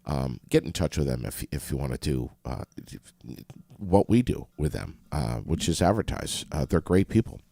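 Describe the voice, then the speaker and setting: A man with a very low, gravelly voice that swings widely in pitch, sounding stuffed up. He co-hosts a casual local radio chat, loose and joking. The studio sound is close and dry. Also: lively intonation, moderate pace, mellow, no room sound. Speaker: radio show co-host.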